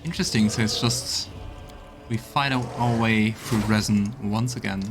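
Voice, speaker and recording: A man's voice: fairly low pitch, steady tone, normal speed, music in the background. A man streaming a game with casual live commentary, muttering wry asides to himself as he plays.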